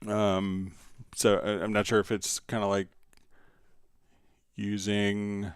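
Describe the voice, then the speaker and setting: Male livestream instructor, lowish clean voice, conversational step-by-step delivery, close dry sound. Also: monotone, takes it slowly, smooth, no echo. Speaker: livestream host and software instructor. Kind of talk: casual live tutorial, answering viewer questions.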